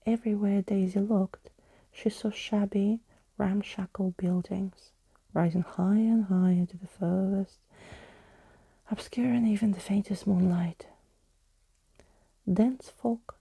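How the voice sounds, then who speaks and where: A woman reads a story aloud as ASMR. Her whisper is very soft and breathy, slow and deliberate, recorded close with hardly any room sound. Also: mid-range voice, dry recording.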